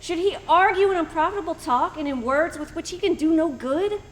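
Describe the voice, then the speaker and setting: A woman's voice: extremely high pitch, a little fast. A woman playing a reproachful, accusing friend in an amateur church Bible drama, scolding and declaiming.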